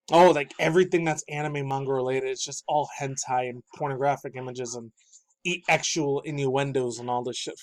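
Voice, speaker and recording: A man in his thirties ranting about pop culture news, loud, profane and heated, shifting between yelling and low muttering, with a slightly raspy voice. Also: no room sound. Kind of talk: angry ranting commentary.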